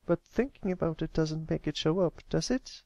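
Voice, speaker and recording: Man doing a high, wistful little filly. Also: moderate pace, vocal fry, a little mellow, no echo. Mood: plaintive, worried. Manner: plaintive, questioning. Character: wistful young filly cartoon pony, anxious about growing up.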